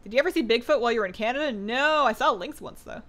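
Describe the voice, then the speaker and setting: A woman's voice: a little high, rapid-fire, a bit bright. Chatty woman streamer, tired voice. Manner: chatty, reads viewer questions aloud, frequent "like" and "um". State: voice a little tired after two hours of talking. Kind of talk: casual live-stream chat while gaming.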